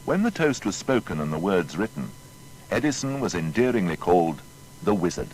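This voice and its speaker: Low male voice, documentary narrator, formal, articulate, animated pitch, faint background noise. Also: normal speed, poor audio.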